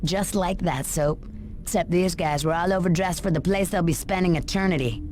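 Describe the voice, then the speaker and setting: A woman's voice: lowish voice, moderate pace, darkish tone, natural voice. An actress playing a cool, stern female police interrogator in a video game, dry, pointed and businesslike. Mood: cool, accusing.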